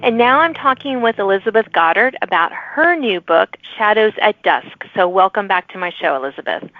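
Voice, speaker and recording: A woman's voice: medium pitch, animated, normal speed, faint music behind. A woman hosting a podcast interview, conversational and encouraging, affirming her guest and putting questions. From lives in Virginia, United States. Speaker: podcast host, author. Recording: thin phone-like band, dry.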